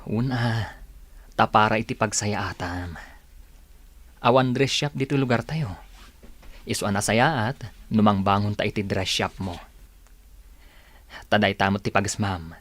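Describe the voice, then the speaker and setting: An actor playing an earnest, soft-spoken suitor who persuades gently, in an Ilocano radio drama with an Ilocano accent. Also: male voice, lowish voice, unhurried, long pauses. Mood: earnest, persuasive.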